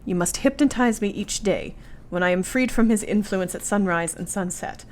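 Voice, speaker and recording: An actress playing a brave, devoted young wife in a radio play, speaking solemnly and formally. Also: female voice, mid-range voice, normal speed, darkish tone, lightly acted. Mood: determined.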